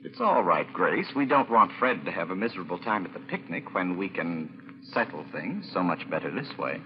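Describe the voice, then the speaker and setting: Cold-blooded male schemer, clipped and commanding, lively intonation, dull 1940s radio drama sound. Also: low voice, moderate pace, over music. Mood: falsely genial. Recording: muffled vintage radio broadcast.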